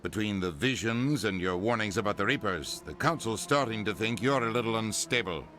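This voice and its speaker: A man's voice: fairly low pitch, animated, brisk, bright, forceful tone, soft background music. A man playing a wry, pragmatic officer advising his commander in a video game. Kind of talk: video game dialogue acting.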